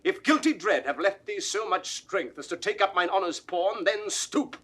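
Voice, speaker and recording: An actor playing a fierce, proud young noble accuser in declamatory Shakespearean verse. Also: male voice, high-pitched, expressive, normal speed, breathy edge, heavily acted. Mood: scornful, challenging. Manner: declamatory, forceful, ringing delivery. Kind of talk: classical Shakespearean verse acting, 1960s recording.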